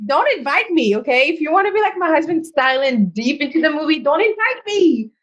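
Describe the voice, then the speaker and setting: A woman who grew up speaking French hosts a relaxed podcast conversation in English. She talks in animated bursts with quick asides and laughs easily. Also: high-pitched. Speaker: podcast host. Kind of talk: casual interview chat.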